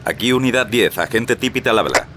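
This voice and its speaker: A man's voice: on the low side, animated, brisk, very noisy, lightly acted. An actor playing a dutiful male patrol officer, reporting in clipped procedural phrases over the radio. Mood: alert, businesslike. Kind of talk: dramatised police radio report.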